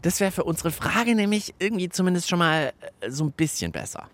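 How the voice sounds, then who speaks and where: A man's voice: sits high, lively intonation, fairly quick, noisy background. A man co-hosting a children's science podcast in a playful, chatty style. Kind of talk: playful scripted chat.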